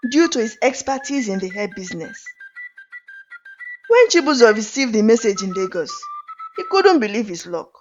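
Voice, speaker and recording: A woman narrates a scripted folktale with expressive, deliberate drama. Her voice sits fairly high and moves around a lot in pitch. Flute music plays clearly behind her. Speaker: storyteller. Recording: dull lo-fi audio under music.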